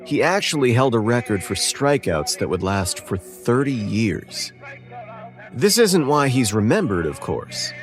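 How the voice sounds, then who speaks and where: An actor playing an earnest, determined young baseball player, narrating his own story in a conversational, deliberate way for an audio drama. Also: mid-range voice, very animated. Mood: reflective, admiring. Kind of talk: scripted first-person audio drama narration. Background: music.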